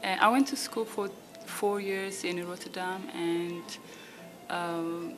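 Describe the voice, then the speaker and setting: A young woman from Ethiopia, raised in the Netherlands, answers questions in a low, level voice. She talks in a loose, halting way and fills gaps with "like" and "you know". Music plays behind her. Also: fairly even intonation. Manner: halting, lots of fillers and "you know what I mean". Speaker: model, guest. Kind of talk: casual interview. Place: apartment at home.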